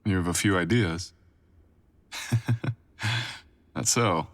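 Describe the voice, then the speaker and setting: A man playing a brooding, possessive, dangerous creature boyfriend in an intimate ASMR roleplay, husky and breathy, murmuring teasing commands. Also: on the low side, a bit bright, full character voice. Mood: playful, coaxing. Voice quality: husky, breathy, often dropping to a whisper. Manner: slow, teasing, murmured commands with deliberate pauses.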